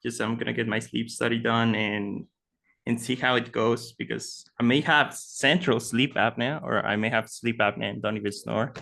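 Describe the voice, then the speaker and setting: A man's voice: mid-range voice, steady tone, moderate pace, vocal fry, pushed and bright. Hesitant young man with a Mexican accent. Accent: Mexican Spanish. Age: young adult. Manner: hesitant, repeats words. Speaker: webinar attendee, college student. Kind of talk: casual conversation. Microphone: computer mic on video call.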